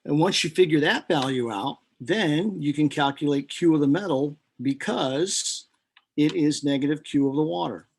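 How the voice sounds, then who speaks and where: A man with a slightly husky, somewhat high voice talks through a chemistry calculation step by step. He thinks aloud, pauses on fillers and corrects himself as he goes, with the odd dry joke at his own expense. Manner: dry self-deprecating asides. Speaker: chemistry instructor. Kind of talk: recorded lecture explaining a lab calculation.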